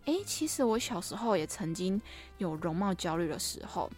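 A woman's voice: lowish voice, animated, moderate pace, darkish tone, faint music behind. A young adult woman, chatting casually and playfully as a podcast host, teasing herself as she goes.

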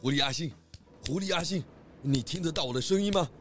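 A man's voice: on the high side, fast, faint music behind, lightly acted. A man playing a genial, methodical pig detective inspector in a children's audio drama, questioning in a polite, easygoing way. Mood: businesslike, calm.

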